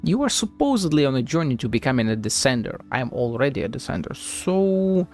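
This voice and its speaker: A man's voice: mid-range voice, very animated, brisk, a little mellow, soft background music. A man streaming a game with casual, slangy, laid-back live commentary.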